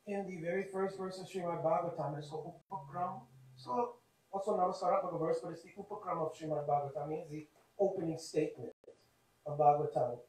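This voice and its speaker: Hoarse man speaking in a cavernous hall. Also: fairly high pitch, darkish tone. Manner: earnest, explanatory, measured. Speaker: devotee speaker. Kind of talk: devotional talk. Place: temple hall. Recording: very echoey hall, voice from far off.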